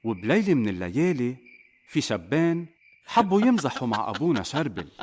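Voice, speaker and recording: A man with a Lebanese accent narrating a scripted children's story as a father would, in an expressive way. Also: medium pitch, brisk. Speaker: narrator, a father telling a saint's life to children.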